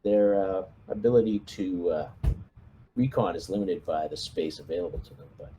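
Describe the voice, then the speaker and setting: A man playing a space marine squad commander in a tabletop roleplaying game, giving orders in a measured, hesitant, businesslike way. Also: sits low, mellow, slight background noise, voice pushed down, close to an everyday voice, rough recording. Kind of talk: in-character tabletop roleplay briefing.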